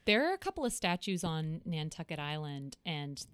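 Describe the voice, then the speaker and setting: A woman's voice: sits low, normal speed, smooth. Wry woman with a lisp. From Massachusetts, US. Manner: wry, deadpan joking, swears. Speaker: podcast co-host. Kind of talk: casual chat.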